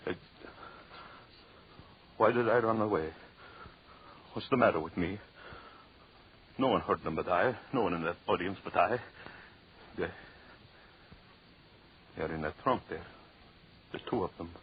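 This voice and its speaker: A low-pitched deranged anthropologist in a vintage radio thriller. He is gloating and tormented, repeating himself with theatrical obsession. The recording is dull and noisy. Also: unhurried, long pauses. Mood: brooding, haunted. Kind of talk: old-time radio suspense acting.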